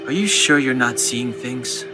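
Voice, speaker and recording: A man playing a cool, reserved, watchful young adventurer in an anime game, speaking in a measured, dry way. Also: medium pitch, expressive, lightly acted. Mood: wary, serious. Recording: music behind the voice.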